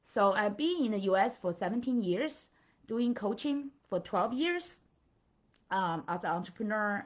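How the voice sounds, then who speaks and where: A middle-aged woman with a Chinese accent talks as a coach to her followers. She gropes for words, strings sentences together with "like" and "uh", and keeps checking in with "right?" and "okay?". The sound is somewhat dull and lo-fi, and her voice sits at a middling pitch. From China. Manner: halting, filler-heavy, checks in with "right?" and "okay?". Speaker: life and business coach. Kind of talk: motivational talk to followers.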